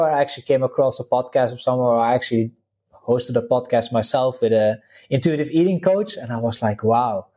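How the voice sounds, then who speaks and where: A man's voice: medium pitch, a little mellow. A man in his thirties from the Netherlands with a Dutch accent, a guest talking casually in an interview, full of fillers and restarts. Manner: chatty, many fillers and restarts, laughs easily. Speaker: guest, vegan health coach.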